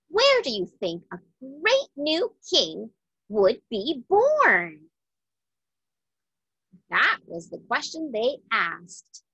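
Very slow, expressive woman storyteller. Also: a little high, slightly rough, dry recording, poor audio. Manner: deliberate, dramatic phrasing with questions to young listeners. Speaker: children's storyteller. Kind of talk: expressive scripted read-aloud for children.

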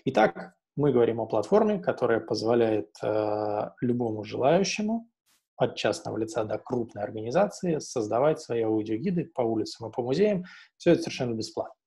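A man's voice: mid-range voice, big pitch swings, fairly quick, warm tone, husky. A man in his thirties giving an explanatory online presentation as a webinar presenter, speaking through an earphone headset on a video call. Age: 30s. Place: small indoor room, on a video call. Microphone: wired earphone headset on a video call.

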